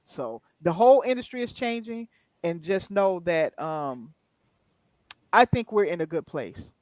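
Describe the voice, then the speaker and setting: Man, high voice, dry close sound, podcast guest, reassuring, explains things. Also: expressive, unhurried, darkish tone, dry recording. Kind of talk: casual chat.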